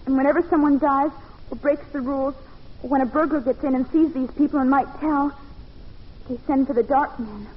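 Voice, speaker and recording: An actress playing a timid, sheltered young maid held captive, naive and melodramatic in old-time radio style. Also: female voice, sits high, fairly even intonation, a little fast, lightly acted. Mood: dread. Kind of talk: 1940s radio acting. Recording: muffled, fuzzy old-time radio recording.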